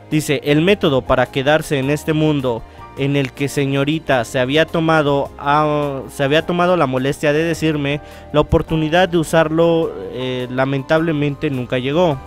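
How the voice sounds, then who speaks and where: A man with a slightly high voice hosts a visual novel let's-play. He talks casually and reads on-screen text aloud, stumbling and restarting phrases. Soft game music plays behind him. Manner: halting read-aloud with stumbles and self-corrections. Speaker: gaming channel host.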